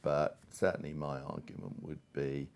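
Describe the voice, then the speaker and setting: A man's voice: very deep voice, level tone, normal speed, gravelly, darkish tone. A man speaking as a panel guest, giving candid, emphatic opinions in a conversational style. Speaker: panel guest, software advocate.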